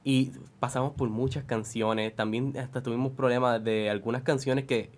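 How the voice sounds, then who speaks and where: Young Puerto Rican man, informal and halting. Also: medium pitch, fairly even intonation. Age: young adult. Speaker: student audio editor, guest. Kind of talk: conversational interview answers.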